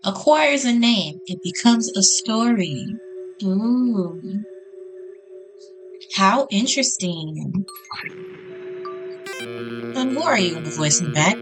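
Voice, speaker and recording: A woman's voice: mid-range voice, expressive, slow, long pauses. Informal woman reading a game aloud over music. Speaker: gaming video host. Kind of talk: reading visual novel text aloud with casual reactions. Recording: music behind the voice.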